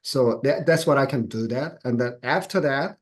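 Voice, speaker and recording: A middle-aged man talking as an interview guest, halting with frequent restarts and laughs. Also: mid-range voice. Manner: halting phrasing with many restarts, laughs often. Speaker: guest sharing his business plans. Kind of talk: casual interview conversation.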